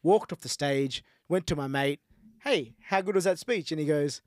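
A man's voice: a little high, moderate pace, dry recording, clean studio sound. A man with an Australian accent, born in Sri Lanka and raised in Australia, co-hosting a casual podcast chat, rambling with restarts and frequent laughter. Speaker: podcast co-host.

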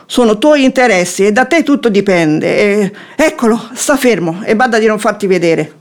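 A woman's voice: mid-range voice, expressive, moderate pace, natural voice. Eloquent, scheming wife in classic stage comedy played by a woman. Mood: firm, scheming. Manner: formal, eloquent, theatrical phrasing. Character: shrewd, composed wife feigning seductive affection to trap a hypocrite. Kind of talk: theatrical radio drama acting of classic comedy.

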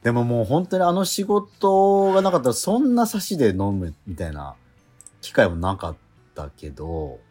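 A middle-aged man hosting a radio show, chatting in a relaxed, rambling, self-deprecating way. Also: medium pitch, moderate pace, faint music behind. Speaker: radio host. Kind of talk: casual radio chat.

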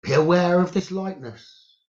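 A man leading a guided meditation, giving gentle instructions in short phrases with long pauses, on a muffled recording. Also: high-pitched. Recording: muffled, dull lo-fi audio.

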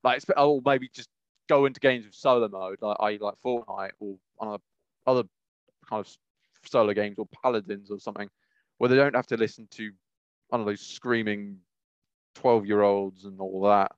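A man's voice: lowish voice, steady tone, normal speed, smooth, no room sound, lo-fi sound. A young British man chatting casually as a podcast co-host, rambling and often circling back mid-sentence. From United Kingdom.

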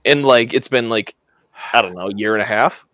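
A man's voice: medium pitch, a little fast, pushed and bright, no echo. A man co-hosting a podcast, telling a rambling anecdote with lots of filler words in a casual chat. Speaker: podcast co-host.

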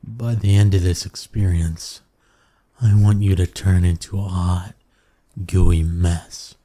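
Deep, breathy incubus seducer, acted by a man. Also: steady tone, mellow. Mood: seductive, boastful. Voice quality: low, breathy, husky.

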